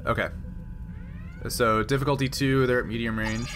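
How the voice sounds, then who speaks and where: A man running a tabletop roleplaying game as game master, explaining rules and thinking aloud in a halting way. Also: medium pitch, steady tone, a bit bright, soft background music. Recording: noisy background.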